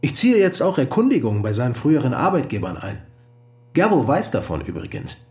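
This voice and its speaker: Earnest, very animated male visitor. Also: mid-range voice, brisk. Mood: earnest, brisk. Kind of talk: naturalistic radio drama acting.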